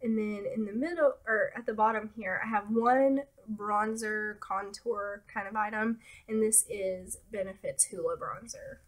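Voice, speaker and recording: A young woman talking casually about beauty products as a vlogger, relaxed and hesitant with many fillers, her nose a little stuffy. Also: mid-range voice, a little slow, hardly any pauses. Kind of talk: casual product talk to camera.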